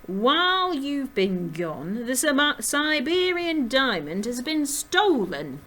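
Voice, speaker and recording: A woman's voice: sits high, big pitch swings, slow pace, sharp tone, pitched above the performer's own voice, heavily acted. A woman voicing a stern, agitated female jackrabbit officer, speaking with accusing emphasis in a storybook reading.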